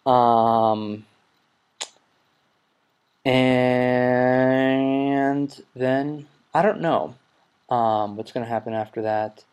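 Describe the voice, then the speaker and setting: Young male podcast host, twenties, low-energy rambler, mid-pitched voice. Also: fairly even intonation, extremely slow, pauses often, a little mellow, clean tone, highs cut off. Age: 20s. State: recovering from a cold. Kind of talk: casual solo podcast chat.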